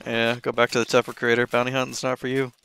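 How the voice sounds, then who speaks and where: A man's voice: medium pitch, completely flat delivery, fast talker, a bit bright, clear, resonant tone. A man casually needling and taunting other players in online game voice chat.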